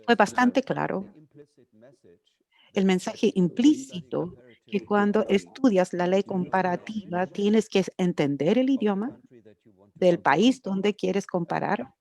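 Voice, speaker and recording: A woman interpreting an academic lecture live, halting and correcting herself as she goes. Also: mid-range voice, creaky, mellow. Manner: halting, with frequent restarts and self-corrections. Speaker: conference interpreter.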